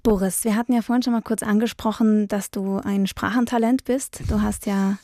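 A woman's voice: medium pitch, steady tone, moderate pace, mellow, no room sound, studio quality. A woman hosting a radio programme, introducing music and asking her guest friendly questions. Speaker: radio host. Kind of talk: radio interview and music presentation.

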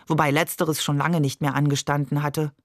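A woman's voice: sits low, little pitch movement, moderate pace, no room sound, clean studio sound. A woman reading a literary story as scripted audiobook narration.

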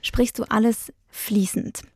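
A woman's voice: fairly high pitch, fairly even intonation, takes it slowly, a crowd in the background. A woman hosting a radio programme, introducing music and asking her guest friendly questions. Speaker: radio host. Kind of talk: radio interview and music presentation.

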